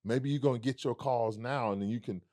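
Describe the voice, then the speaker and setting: A man with a deep baritone voice, reflecting on his past as a guest in a casual conversation and acting out remembered dialogue. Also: brisk, dark tone, dry recording, clean studio sound. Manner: reflective, storytelling with quoted dialogue. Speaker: musician guest.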